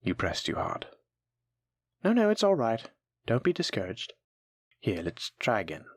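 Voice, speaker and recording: A voice actor plays a patient, kindly alchemy teacher from a fantasy audio roleplay. The voice is breathy and moves expressively up and down, recorded close and dry. The teaching is gentle and encouraging, aimed at a nervous beginner. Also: medium pitch, huge pitch swings, unhurried, no room sound, full character voice. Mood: reassuring. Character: kind, patient alchemy teacher guiding a nervous new apprentice. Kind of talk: soft-spoken scripted fantasy audio roleplay.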